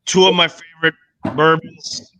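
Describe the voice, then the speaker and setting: Bright, forceful man, close and dry. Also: a little high, flat delivery, normal speed, clean tone, no room sound, rough recording. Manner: blunt, profane, slangy. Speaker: podcast co-host. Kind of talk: casual sports banter.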